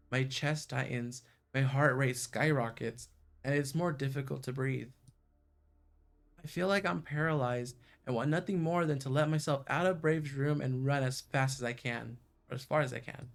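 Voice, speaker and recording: A man's voice: medium pitch, creaky. A man livestreaming a visual novel, reading the narration aloud in a playful way and sometimes stumbling or breaking into chatty asides.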